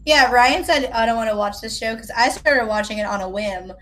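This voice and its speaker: A woman's voice: a little high, a little fast, fairly bright tone, a little hiss or hum. A woman from South Carolina with an American accent, chatting casually in a playful, self-deprecating, joking way. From South Carolina, United States. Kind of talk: casual chat.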